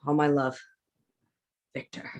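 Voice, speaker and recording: An actor playing an anguished, guilt-ridden male scientist in a dramatic staged reading, grave and deliberate with a raspy voice. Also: highs cut off, lightly acted. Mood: grim resolve.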